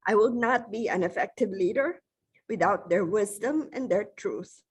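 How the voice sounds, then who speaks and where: A middle-aged woman from the Philippines giving a formal, measured acceptance speech with occasional restarts. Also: medium pitch, slightly rough. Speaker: award recipient giving a speech.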